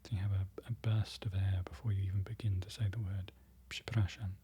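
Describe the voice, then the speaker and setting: A presenter whispering an ASMR-style lesson, slowly repeating foreign words and correcting themselves. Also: sits low, little pitch movement, a little hiss or hum. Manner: whispering throughout, soft and close. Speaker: presenter learning Polish from a book.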